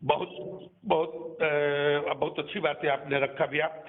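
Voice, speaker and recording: A man's voice: on the high side, level tone, takes it slowly. A man interviewing someone over the phone, asking leading questions and deferentially addressing the guest as brother. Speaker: interviewer, reporter. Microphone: phone call. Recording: tinny phone line, noisy, clicks.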